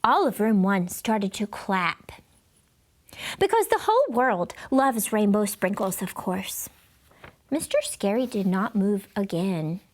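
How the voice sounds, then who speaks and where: A woman reading a children's storybook aloud in expressive narration. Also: medium pitch, slow. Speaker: storybook reader.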